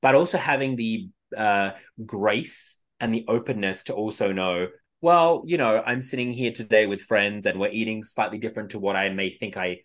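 A man's voice: lowish voice, moderate pace. A man co-hosting a podcast, talking in a reflective, meandering way and often trailing off mid-thought to restart. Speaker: podcast co-host. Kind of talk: casual spiritual chat.

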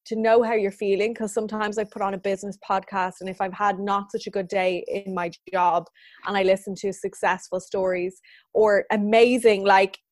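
A woman's voice: mid-range voice, flat delivery, normal speed, dry recording, clean studio sound. A woman in her thirties who runs a business, answering interview questions in a chatty, earnest way and laughing often. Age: 30s. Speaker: business owner guest.

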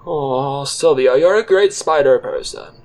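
A man's voice: medium pitch, measured pace, darkish tone, lightly acted. A man playing an irritable, world-weary fired worker in an audio drama, speaking in a sardonic, long-winded, emphatic way. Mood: consoling. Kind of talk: first-person audio drama narration and dialogue.

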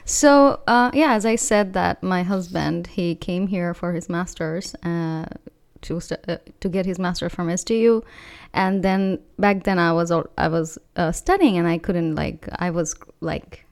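A young woman from Bangladesh, co-hosting a student podcast in casual chat, talking with many fillers and pauses. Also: lowish voice, creaky, a little mellow, smooth. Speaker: podcast co-host.

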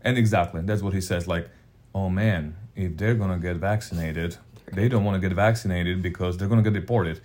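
A man's voice: deep voice, fairly even intonation, fairly quick, a little mellow. A man co-hosting a podcast with blunt, emphatic and scornful casual banter. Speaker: podcast co-host.

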